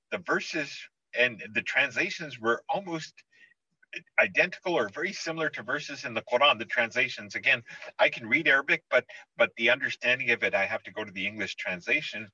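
Husky-voiced host guy, kinda far off and muffled, dry sound, talks fast. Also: medium pitch, lively intonation, bright, forceful tone, no echo. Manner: quick, eager, repeats words. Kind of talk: casual interfaith conversation.

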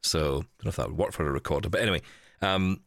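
A man's voice: sits low, very fast, gravelly, a bit bright, no room sound, clean studio sound. A man hosting a podcast in casual, chatty style, thinking aloud with wry asides. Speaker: podcast host. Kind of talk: casual chat, live unboxing of a gadget.

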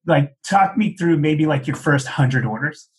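A man's voice: fairly high pitch, animated, measured pace. A man hosting a business podcast, asking friendly, long multi-part questions in a conversational interview. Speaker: podcast host.

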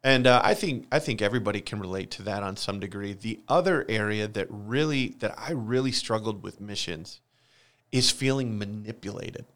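A man's voice: on the low side, normal speed, a bit bright. An American man in his forties from Michigan talks candidly about his own life in an interview, earnest and confessional, repeating phrases for emphasis. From Michigan, United States. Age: 40s. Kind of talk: candid personal testimony in a conversational interview.

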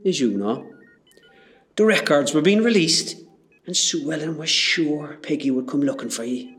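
A man playing a kindly, earnest Irish hospital porter with a Cork accent in an amateur radio play. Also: a little high. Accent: Irish, County Cork. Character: kindly, apologetic Irish hospital porter, grown-up local lad.

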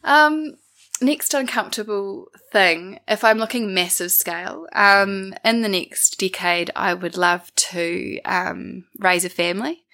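Interview guest: young New Zealand woman, croaky and gravelly from a cold, bright tone, reflective. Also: medium pitch, slow pace. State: croaky from a winter bug.

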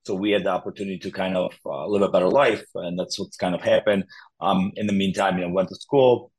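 A man's voice: sits low, fairly even intonation, quick pace, husky. A man in his thirties from Poland, a guest talking casually with easy laughs and many fillers. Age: 30s. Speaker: guest, public adjuster. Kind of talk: casual interview talk.

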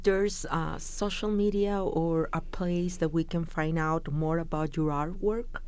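A woman's voice: low voice, fairly even intonation, unhurried, darkish tone, clear, resonant tone. A woman hosting a community radio program, reading a formal intro and asking interview questions. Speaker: radio host. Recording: radio voice over faint violin music.

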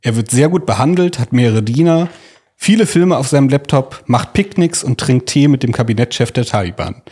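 Husky, mellow man hosting a podcast. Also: medium pitch, animated, a little fast. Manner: informal, colloquial. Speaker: podcast host. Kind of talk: conversational storytelling, reading out quotes.

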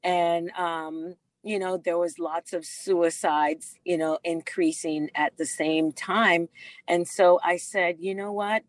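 A woman's voice: lowish voice, fairly even intonation, dry recording. A middle-aged woman from the Bahamas, a doctor and author, telling her life story earnestly in an interview with many fillers. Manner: slow and earnest, frequent fillers.

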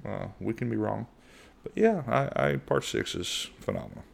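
A middle-aged man from the United States hosting a casual solo podcast in a monotone voice, rambling with lots of fillers and restarts. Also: on the low side, normal speed, creaky. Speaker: podcast host.

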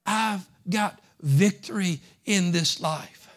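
A man preaching a sermon in a slightly high voice. He talks in a folksy, repetitive way, moving from soft conversational stretches into loud, fervent preaching. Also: slow. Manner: folksy, repeats phrases. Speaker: pastor.

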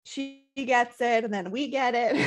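A young woman co-hosting a casual podcast chat, talkative and quick to giggle. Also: on the high side, fairly even intonation, soft background music. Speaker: podcast co-host, nurse.

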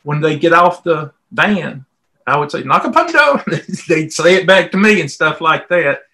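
A man's voice: fairly high pitch, animated, a little slow, sharp tone. A middle-aged man with a Southern US accent, telling personal stories in a folksy, conversational way as an interview guest. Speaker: volunteer interviewee.

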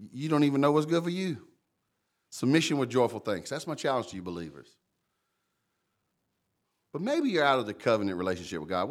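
A man, a pastor preaching a lively, conversational sermon with a big voice, calling out members of his church by name. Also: medium pitch.

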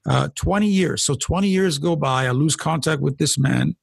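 A middle-aged pastor speaks with a husky, gravelly voice that sits a little high for a man. He tells his testimony earnestly and conversationally, circling back and repeating words as he finds them. The sound is dry and close. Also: normal speed, no echo. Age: 40s or older. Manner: earnest, storytelling, frequent restarts and repeated words. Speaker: pastor and guest. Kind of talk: personal testimony in a conversational interview.

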